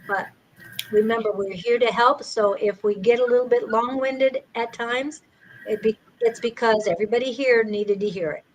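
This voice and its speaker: Higher-pitched woman running a psychic stream. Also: normal speed, slight background noise, rough recording. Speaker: co-host. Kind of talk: live-stream reading session.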